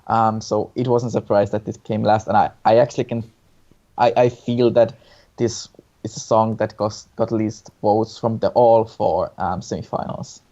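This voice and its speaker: Mellow-voiced man, hesitant, in dull audio. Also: fairly low pitch, fairly even intonation, moderate pace. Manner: hesitant, repeats words. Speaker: co-host reviewing song contest entries. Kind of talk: casual opinion chat. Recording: dull lo-fi sound.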